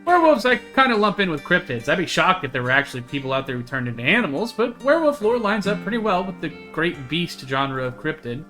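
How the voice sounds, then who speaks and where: A man's voice: a little high, big pitch swings, fast, few pauses, sharp tone, voice pushed up. A man voicing a chatty, earnest young woman who hunts cryptids in a visual novel, with a folksy, eager way of talking. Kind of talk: visual novel dialogue read aloud. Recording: music behind the voice.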